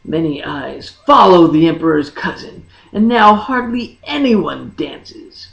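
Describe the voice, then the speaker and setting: A woman narrates a fantasy tale with theatrical, deliberate phrasing. Her delivery is slow and lively in pitch, with a gravelly creak in the voice. The recording is a dull, lo-fi one made in an echoey room. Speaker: audiobook narrator. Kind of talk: dramatic scripted fantasy narration.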